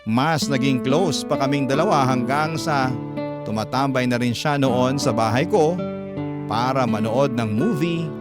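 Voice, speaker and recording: A man's voice: medium pitch, a little slow. A man with a Filipino accent narrating a scripted first-person letter as a radio storyteller. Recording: guitar music bed under voice.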